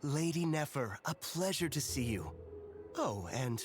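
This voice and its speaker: A man playing a courteous, deferential gentleman attendant in a video game, speaking formally and obligingly. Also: fairly high pitch, expressive, a little slow. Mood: polite, obliging. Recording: faint music behind.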